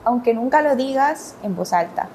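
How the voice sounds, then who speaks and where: A woman's voice: a little high, lively intonation, normal speed, darkish tone, slight background noise. A woman interviewer asking prepared questions and giving short acknowledgements.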